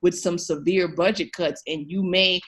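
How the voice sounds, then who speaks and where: A woman's voice: on the low side, fairly even intonation, moderate pace, fairly bright tone. A woman speaking as an interview guest, emphatic and encouraging, addressing the listener directly in a conversational way. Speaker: podcast guest, education researcher.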